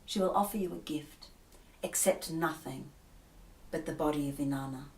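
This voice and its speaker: A woman voicing a wise and compassionate male god of water, giving slow, grave commands. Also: low-pitched, a little mellow. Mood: solemn. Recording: roomy echo, distant mic.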